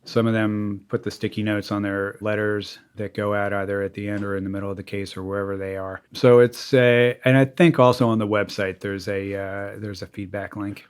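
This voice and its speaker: A man's voice: fairly low pitch, fairly even intonation, a little fast, hardly any pauses, smooth. A man giving casual explanations as an interview guest, a little hesitant as he pieces details together.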